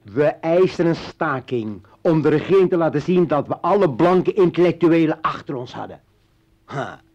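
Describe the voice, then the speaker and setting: An actor playing a bitter, militant revolutionary and former journalist in a radio play, ranting and taunting in halting bursts. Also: male voice, fairly high pitch, expressive, a little mellow. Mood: indignant. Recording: dull vintage radio drama recording.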